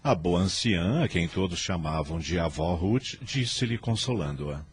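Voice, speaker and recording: A man's voice: low-pitched, animated, a little slow, a little hiss or hum. A man narrating a radio drama from a script in a formal, literary storytelling manner.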